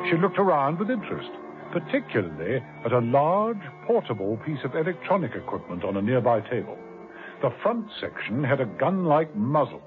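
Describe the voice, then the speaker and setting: A man giving scripted narration for a 1970s radio drama, brisk and measured. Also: medium pitch. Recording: muffled old radio broadcast with music.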